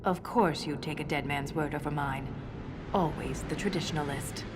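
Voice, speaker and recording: A woman playing a principled, dryly stubborn dwarf historian in a video game, speaking in a measured, precise way. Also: low voice, background noise, close to an everyday voice. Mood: dry, sparring. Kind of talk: video game cutscene dialogue. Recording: game music behind the voice. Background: music.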